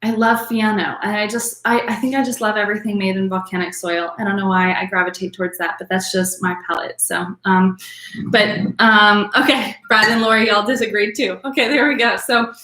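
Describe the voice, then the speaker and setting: A woman's voice: medium pitch, steady tone, brisk, pushed and bright, reverberant, poor audio. A woman teaching an online wine class in a chatty way, full of ums, calling on attendees by name. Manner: chatty, filler-heavy, addresses attendees by name.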